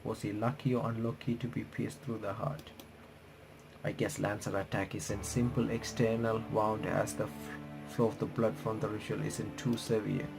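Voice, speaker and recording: A man's voice: lowish voice, flat delivery, vocal fry, rough recording. Man reading game text aloud over music. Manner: halting reading with stumbles and self-corrections. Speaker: gaming reaction streamer. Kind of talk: reading visual novel text aloud with commentary. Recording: music playing behind the voice.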